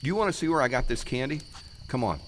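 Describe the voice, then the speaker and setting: A man's voice: mid-range voice, animated, fast talker, fairly bright tone, long reverb tail, a little hiss or hum, close to an everyday voice, low-quality recording. A man acting in a radio play as a genial, mischievous guide with a folksy, wry, conversational manner. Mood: coaxing, jovial. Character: genial, mischievous man guiding visitor into hell.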